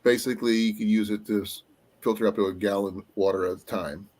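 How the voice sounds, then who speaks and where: A man hosting a casual live-stream chat, his voice going a little raspy, rambling along with fillers as he looks things up. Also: fairly low pitch, fairly even intonation, fast, darkish tone. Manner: rambling, trails off with fillers while looking things up. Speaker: livestream host.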